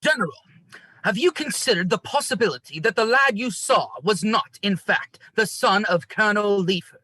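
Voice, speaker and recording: A man's voice: high-pitched, animated, moderate pace, pushed and bright, slightly rough, dry recording, full character voice, low-quality recording. A man playing an earnest, cautious adviser to a general, speaking formally and emphatically in a stage play reading. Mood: doubtful, urging.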